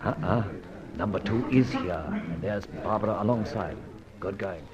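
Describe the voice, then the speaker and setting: An actor playing a breezy, wry gentleman detective in an old-time radio drama, with a British accent and old-fashioned upper-class slang. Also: on the low side, normal speed, a crowd in the background, close to an everyday voice. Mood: musing. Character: jaunty gentleman adventurer detective, wry and brisk. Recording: muffled old radio recording with noise.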